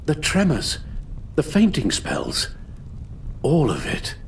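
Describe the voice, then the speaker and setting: An actor playing a weary, sorrowful elderly English butler in a video game, with a British accent and a formal, measured, emotive delivery. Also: male voice, on the high side, huge pitch swings, creaky, slight background noise, heavily acted. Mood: resigned. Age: older. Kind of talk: dramatic video game voice acting.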